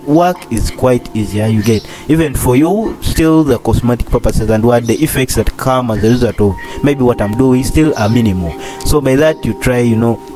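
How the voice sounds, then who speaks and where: Husky young man rambling over music. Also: medium pitch, rough recording. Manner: rambling, repeats phrases, many fillers. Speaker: doctor in training, channel host. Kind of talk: casual vlog commentary. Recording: clear music playing under the voice.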